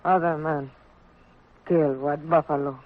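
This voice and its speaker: Radio western Arapaho wife: halting, terse broken English, very slow delivery, thin vintage broadcast sound. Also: female voice, low-pitched, steady tone. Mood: reluctant, halting. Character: Arapaho wife, halting, loyal to her violent husband. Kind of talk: 1950s radio western acting. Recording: tinny, narrow-band old radio recording.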